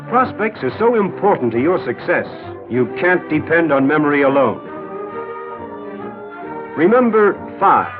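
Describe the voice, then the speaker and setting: An encouraging, emphatic mentor narrates a 1940s training film. His voice is fairly high and very animated, on a narrow vintage soundtrack with light music. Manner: emphatic, instructive, measured phrasing. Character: confident, encouraging mentor voice coaching an insurance salesman.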